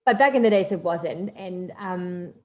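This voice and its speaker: A woman's voice: lowish voice, a little slow, dull audio. A woman with a German accent, a marine scientist giving a chatty online talk with many fillers and asides. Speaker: marine biologist guest speaker.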